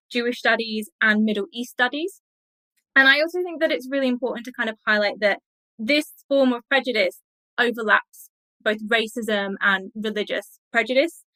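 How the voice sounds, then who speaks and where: A woman's voice: fairly high pitch, a bit bright, no echo, poor audio. A woman speaking as an academic guest in an interview, explaining things in a thoughtful, scholarly way.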